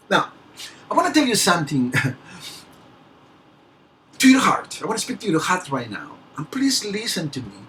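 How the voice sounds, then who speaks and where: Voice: medium pitch, a bit bright, recorded from a distance, a little hiss or hum, poor audio. A motivational speaker from Peru with a Peruvian Spanish accent and Spanish as a first language. They give an earnest, emphatic speech, laughing lightly and restarting words now and then.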